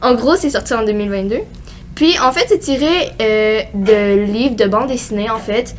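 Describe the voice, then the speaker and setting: Teen woman, Québécois accent, high-pitched, rambling casual podcast co-host. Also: normal speed. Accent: Québécois French. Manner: rambling, many fillers like "genre" and "euh".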